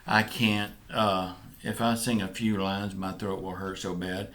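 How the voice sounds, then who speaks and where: A man's voice: deep voice, little pitch movement, a crowd in the background. A man with a Southern US accent, casually answering viewer questions as a vlog co-host, easygoing and a bit rambling. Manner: easygoing, rambling, halting with fillers. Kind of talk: casual chat.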